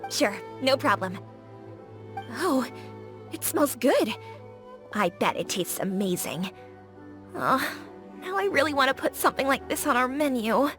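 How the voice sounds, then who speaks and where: A woman's voice: high-pitched, sing-song, measured pace, long pauses, a bit bright, faint music behind, heavily acted. A young woman voicing an upbeat restaurant owner in a game, eager and exclamatory. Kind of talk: game voice acting.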